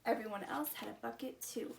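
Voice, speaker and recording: High-voiced woman reading to preschoolers, echoey. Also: fairly quick, vocal fry. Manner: animated, exclaiming, simple child-directed phrasing. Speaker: children's story time host. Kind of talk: expressive read-aloud to preschool children. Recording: roomy echo, distant mic, background noise.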